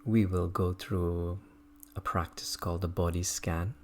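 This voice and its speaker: Meditation guide, low pitch, very slow delivery, contemplative, leaving space between short phrases. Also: level tone, a little hiss or hum. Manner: unhurried, contemplative, halting phrases. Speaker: meditation teacher. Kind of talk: guided body scan meditation.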